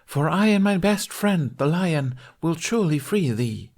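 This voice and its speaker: A man's voice: on the high side, expressive, measured pace, darkish tone, pitched above the performer's own voice. A man voicing a theatrical fairy woman who plays a gallant, bombastic knight in archaic stage speech for a story. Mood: heroic declaiming.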